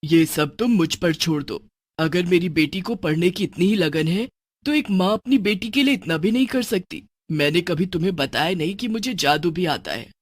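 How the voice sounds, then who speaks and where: A woman voicing a loving witch mother, speaking gently but firmly in a children's cartoon story. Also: fairly low pitch, expressive, moderate pace, no echo. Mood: tender. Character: loving, protective witch mother with magic powers.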